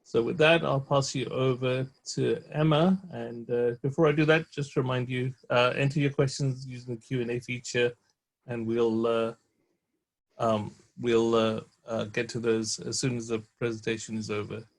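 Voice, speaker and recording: A man's voice: mid-range voice, little pitch movement, moderate pace, vocal fry. A man hosting a webinar, introducing the speaker hesitantly with many fillers. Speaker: webinar host.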